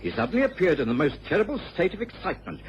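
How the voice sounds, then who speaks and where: An actor playing a genteel, earnest gentleman companion of a detective in a radio drama, telling the case expressively. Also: on the high side, wildly swooping pitch, racing. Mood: measured, grave. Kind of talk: radio drama narration and acting. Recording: dull, lo-fi old radio recording with faint hiss.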